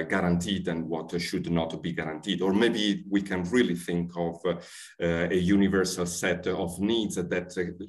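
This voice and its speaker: A middle-aged man moderating a panel on a video call, speaking haltingly with many filler sounds, somewhat distant from the mic. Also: sits low. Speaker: session moderator. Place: room at home with windows.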